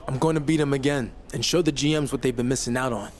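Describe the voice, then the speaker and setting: A man's voice: mid-range voice, quick pace, slight background noise, lightly acted. A man playing a cocky, determined young rookie wrestler in a video game cutscene. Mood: defiant. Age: young adult.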